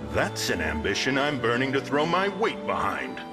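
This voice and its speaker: A man playing a gruff, wry town lord in a fantasy game, with folksy, sardonic, archaic phrasing. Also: medium pitch, wildly swooping pitch, moderate pace, over music. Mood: wry, earnest. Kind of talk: video game fantasy acting.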